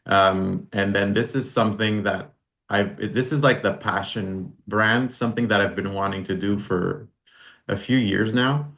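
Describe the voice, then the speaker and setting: Relaxed man in his forties, fillers. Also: lowish voice, unhurried. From Canada. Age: 40s. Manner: relaxed, rambling with many fillers and restarts. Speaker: podcast guest, brand founder. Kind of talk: casual interview chat.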